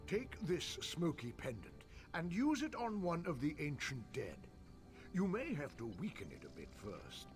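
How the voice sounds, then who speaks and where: An actor playing a scholarly, impatient fantasy-game mage who muses pedantically and talks down to people. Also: male voice, fairly high pitch, wildly swooping pitch, unhurried, faint music behind. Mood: intent. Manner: pedantic, musing, condescending. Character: scholarly elf mage, fascinated by spirits, impatient. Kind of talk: video game character acting.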